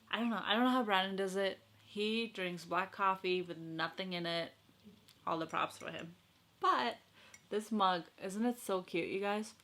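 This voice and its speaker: A young woman chatting casually to her viewers in an excitable, gushing, giggly way. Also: lowish voice. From Sri Lanka and Los Angeles. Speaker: vlogger.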